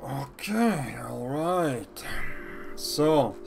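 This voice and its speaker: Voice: mid-range voice, very animated, drawn-out words, gravelly, over music. A game streamer making casual, muttered reaction comments during a playthrough.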